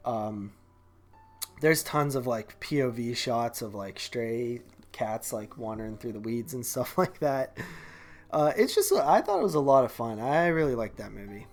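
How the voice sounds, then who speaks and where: A man's voice: medium pitch, big pitch swings, a little slow. A man co-hosting a movie podcast in casual, slangy chat, often dropping in "like" and "man". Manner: slangy, informal, heavy use of "like" and "man".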